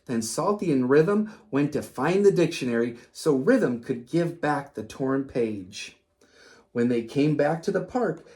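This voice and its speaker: A woman hosting a children's read-aloud, narrating a story expressively and pausing for simple lessons. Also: low voice, warm tone. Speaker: children's story reader and host.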